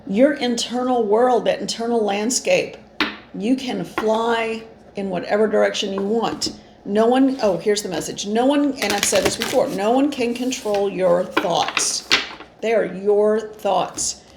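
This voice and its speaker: Gravelly-voiced woman in an echoey room. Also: medium pitch, measured pace. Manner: meandering, earnest, gentle encouragement. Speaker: spiritual channeler and card reader. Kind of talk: informal spiritual card reading to camera. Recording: echoey room with faint noise.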